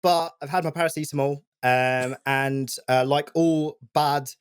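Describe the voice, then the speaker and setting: A man's voice: fairly high pitch, steady tone, measured pace, clear, resonant tone, dry recording, studio quality. A man co-hosting a podcast, telling stories casually with a slightly scratchy throat from a cold. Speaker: podcast co-host.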